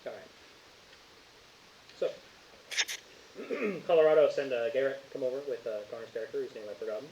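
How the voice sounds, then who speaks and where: A man acting as game master, narrating casually and bantering with the players in a teasing, excitable way. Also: mid-range voice, fairly quick, pauses often, dull audio.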